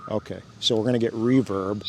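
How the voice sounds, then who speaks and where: A man's voice: on the low side, fairly even intonation, fairly quick, a little hiss or hum. Chatty man demoing gear outdoors. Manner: chatty, thinks aloud, laughs often. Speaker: drone channel host. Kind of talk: casual hands-on demo narration. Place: outdoors by a fountain, cold weather.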